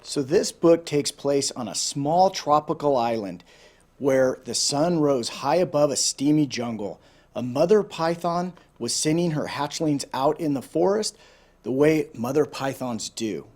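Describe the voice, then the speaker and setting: Higher-pitched man reading a children's storybook. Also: animated, unhurried, a little mellow. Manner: informal, addressing listeners directly. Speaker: volunteer storyteller.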